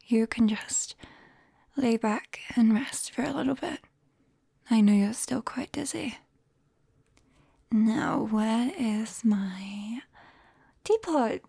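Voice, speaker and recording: Breathy whispering British witch played by a woman. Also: a little high, no echo, clean studio sound, heavily acted. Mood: coaxing, caring. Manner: hesitant, coaxing, gently apologetic. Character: clumsy, caring young forest witch, sheepish and gentle. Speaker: ASMR roleplay performer. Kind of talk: whispered ASMR roleplay. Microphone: close binaural microphone.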